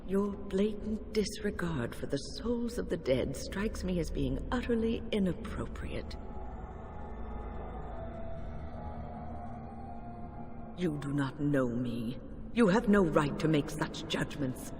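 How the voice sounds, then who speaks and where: A woman's voice: deep voice, animated, measured pace, a little hiss or hum. An actress playing a grieving, devout older woman with a stern, formal manner in a video game. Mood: indignant. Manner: solemn, formal, hushed in grief. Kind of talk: dramatic video game acting.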